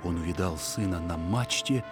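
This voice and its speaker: A man reading a story aloud as an audiobook narrator, in an expressive, theatrical manner. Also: low-pitched, normal speed, over music. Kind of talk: dramatic scripted story narration.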